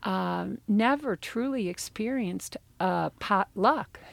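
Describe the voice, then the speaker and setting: A woman hosting an interview, asking long, probing questions in an unhurried way. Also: mid-range voice, vocal fry, no room sound. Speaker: interview host.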